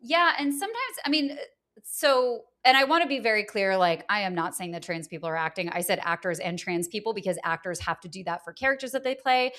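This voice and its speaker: A woman from Atlanta, Georgia, in a candid podcast conversation. She talks openly and animatedly, with a mid-range voice, leaning into stories and breaking into laughter. The tone is relaxed and conversational. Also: medium pitch, fairly quick. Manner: animated, expressive, frank and laughing. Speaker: guest, producer.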